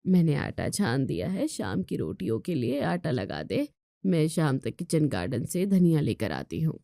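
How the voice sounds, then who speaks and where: A woman's voice: low-pitched, sing-song, normal speed, gravelly, warm tone, no room sound, clean studio sound, pitched below the performer's own voice, exaggerated voice. A woman voicing a weary, firm middle-aged mother-in-law who scolds in a family storybook tale.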